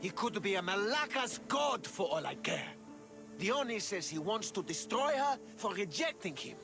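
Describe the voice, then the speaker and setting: Jealous lover for a video game: high, very animated, haughty and sneering, music behind. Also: male voice, a little slow, vocal fry, full character voice. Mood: brusque. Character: jealous, lovesick young man giving mercenary orders. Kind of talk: video game dialogue acting.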